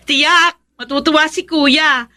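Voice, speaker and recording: An actress playing a bubbly, giggly young Filipino daughter, a student, in a radio drama. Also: female voice, high-pitched, slow pace, pushed and bright, heavily acted. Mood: delighted.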